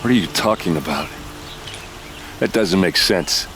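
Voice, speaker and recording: A rough-edged male captain of the guard in a fantasy game. His voice is low, and his talk is gruff and informal despite his grief. Music plays clearly behind him. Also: measured pace, a bit bright. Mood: troubled.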